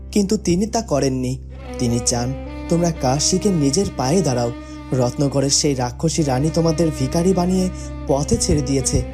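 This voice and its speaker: A man's voice: fairly high pitch, natural voice. A man playing a loyal, stern young prince in a fairytale cartoon, speaking in a formal, proclaiming way. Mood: earnest. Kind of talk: children's fairytale cartoon acting. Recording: loud music behind the voice.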